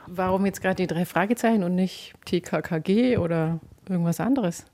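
A woman's voice: fairly low pitch, a little fast, mellow. A woman interviewer putting short, informal questions.

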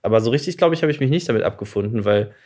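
Man, about 30, from southern Germany, medium pitch, hesitant, casual podcast guest. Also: animated, racing, a little mellow. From Freising near Munich, Germany. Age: around 30. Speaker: podcast guest telling his own story. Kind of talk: casual conversation.

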